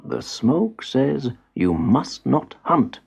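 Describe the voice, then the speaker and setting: A man voicing a calm, wise old grandfather in a storybook, solemn, slow and measured. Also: medium pitch, vocal fry, breathy edge, pitched above the performer's own voice, heavily acted. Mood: calm, firm.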